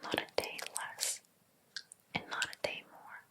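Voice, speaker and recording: A woman playing a possessive, jealous hairdresser in a whispered ASMR roleplay, speaking softly in a slow, deliberate, controlling manner. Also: close to an everyday voice. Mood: stern, controlling. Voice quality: soft, breathy whisper.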